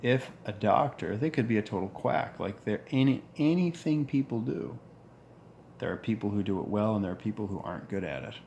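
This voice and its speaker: A man in his forties with a deep voice, talking earnestly in a reflective monologue, pausing and restarting as he goes. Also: animated, creaky, darkish tone, lo-fi sound, slight background noise. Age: 40s. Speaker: vlogger.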